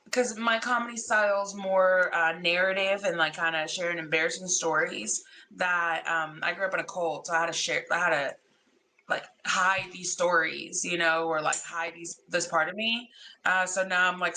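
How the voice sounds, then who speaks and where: A woman's voice: lowish voice, pushed and bright, a little hiss or hum. A young adult woman from Mexico whose first language is Spanish, chatting casually as a guest and rambling with lots of fillers. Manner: halting, rambling with fillers and laughs. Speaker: comedy producer guest. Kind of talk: casual podcast chat.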